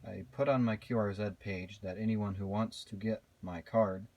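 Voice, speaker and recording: An American man with a fairly low voice speaks as a ham radio hobbyist. His delivery is measured and matter-of-fact, suited to casual narration and on-air exchanges. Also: fairly even intonation, a little mellow. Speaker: amateur radio operator and vlogger.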